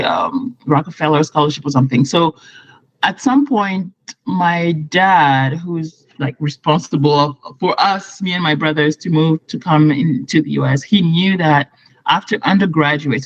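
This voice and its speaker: A woman's voice: low-pitched, no room sound, highs cut off. A woman in her thirties, from Morocco, a guest on a video call interview, talking at length and often restarting her sentences. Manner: chatty, explains at length with restarts.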